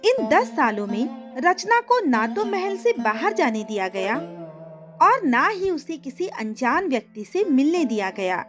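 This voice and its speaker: A woman narrates a scripted story in a high voice. Her intonation is lively, and light music plays underneath. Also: measured pace.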